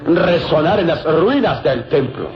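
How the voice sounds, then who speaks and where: A smooth German schemer in a radio drama, formal and insistent. His mid-pitched voice swings theatrically with dramatic pauses, over loud music on a dull, band-limited recording. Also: expressive. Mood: suave, mysterious. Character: sly German villain, smoothly deceitful, courteous adult man. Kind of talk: vintage radio serial acting.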